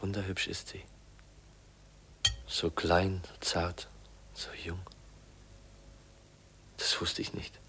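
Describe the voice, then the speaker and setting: An actor playing a shy, awkward young heir in a 1950s radio drama, speaking hesitantly and politely. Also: male voice, low-pitched, flat delivery, sharp tone, whispered, full character voice. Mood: awed, self-reproachful. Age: young adult. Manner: hesitant, halting, polite, murmuring inner monologue.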